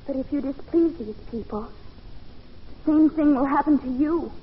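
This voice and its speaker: An actress playing a timid, sheltered young maid held captive, naive and melodramatic in old-time radio style. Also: female voice, high voice, moderate pace, close to an everyday voice. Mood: dread. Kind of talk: 1940s radio acting. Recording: muffled, fuzzy old-time radio recording.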